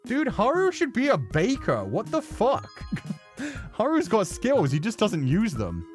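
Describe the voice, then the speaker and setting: An animated game streamer chatting casually through a playthrough. The delivery is slangy and sweary, with pitch that leaps around widely. Game music plays clearly underneath. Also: unhurried. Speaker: let's play host. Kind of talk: casual game commentary with read-aloud.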